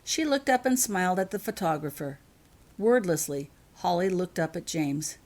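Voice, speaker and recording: A woman's voice: fairly low pitch, animated, normal speed, a little mellow. A woman reading her own scripted fiction aloud as narrator.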